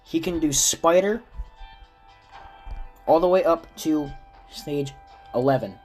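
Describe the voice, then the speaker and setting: A man with a slightly raspy, stuffy voice from a cold, casually explaining a game character's build step by step. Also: a little high, slow pace, faint music behind. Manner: explains step by step, relaxed and chatty. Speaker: gaming video creator. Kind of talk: casual game guide commentary.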